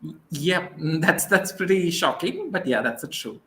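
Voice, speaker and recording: A man's voice: fairly high pitch, sing-song, moderate pace, fairly bright tone, a little hiss or hum. A man from southern India with a South Indian accent and Tamil as his first language, answering interview questions hesitantly, with many fillers. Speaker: guest.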